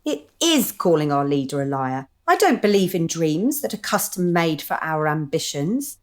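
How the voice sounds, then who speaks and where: An actress playing a sceptical member of an amateur club, forthright and argumentative, in a radio comedy drama. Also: female voice, low voice, very animated, normal speed, darkish tone.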